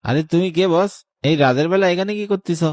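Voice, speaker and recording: A man's voice: sits high, normal speed, darkish tone, no echo, clean studio sound, natural voice. Man playing cocky, tipsy Bengali villager. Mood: puzzled, cheeky. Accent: rural Bengali dialect. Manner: rambling, suspicious, cheeky. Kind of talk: horror cartoon voice acting.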